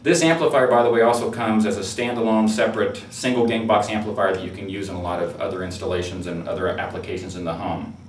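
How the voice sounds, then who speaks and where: Low-voiced man in a roomy, distant recording. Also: steady tone, fast talker. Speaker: product presenter. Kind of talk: informal product demonstration. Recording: roomy, distant mic with faint noise.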